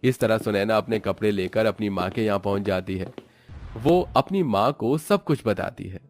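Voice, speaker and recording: A man's voice: on the low side, normal speed, faint music behind. A man narrating a scripted moral story as its storyteller.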